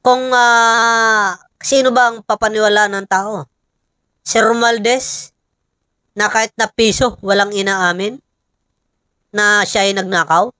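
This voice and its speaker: A Filipino woman delivers informal political commentary at an unhurried pace. She pauses often and leans on rhetorical questions for emphasis. The sound is dry and close, with little room echo. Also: medium pitch, fairly even intonation, pushed and bright, clear, resonant tone.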